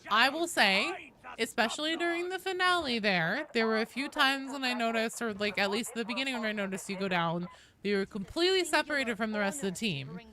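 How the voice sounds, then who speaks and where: A woman's voice: on the high side, expressive, brisk, crowd noise. A woman hosting a game livestream, chatting casually and informally with viewers, swearing freely. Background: faint video game sounds.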